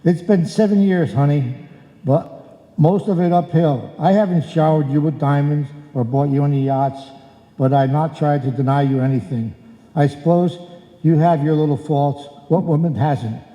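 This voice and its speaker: Casting: male actor as sentimental, blustery husband for old-time radio comedy, fairly high voice, very dark tone, live hall sound. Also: normal speed, muffled recording, lightly acted. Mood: tender. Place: indoor hall stage, live performance. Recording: echoey hall, live stage performance.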